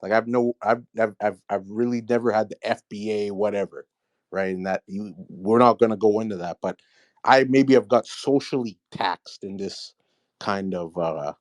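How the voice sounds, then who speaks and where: Male caller, low pitch, dry sound, rambling and hesitant. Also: moderate pace, dry recording. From Michigan, USA. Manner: hesitant, rambling, many fillers. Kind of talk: casual call-in chat.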